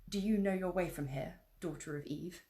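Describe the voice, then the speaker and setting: A woman voicing a timid, gentle male faun who speaks softly and anxiously. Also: on the low side, moderate pace, close to an everyday voice.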